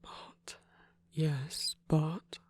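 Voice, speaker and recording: A performer playing a tender villain comforting a lover in an intimate ASMR roleplay, often whispering in a gentle, coaxing way close to the microphone. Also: mid-range voice, fairly even intonation, extremely slow, dry recording. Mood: tender, earnest. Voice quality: breathy, husky, often whispering.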